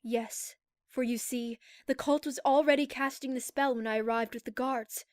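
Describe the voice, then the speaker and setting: Woman acting regal, high-voiced pony princess. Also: steady tone, a little mellow, no echo, clean studio sound, close to an everyday voice. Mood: grave, reassuring. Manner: formal, measured, composed. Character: regal, grave adult female alicorn pony princess. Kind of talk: scripted audio drama acting.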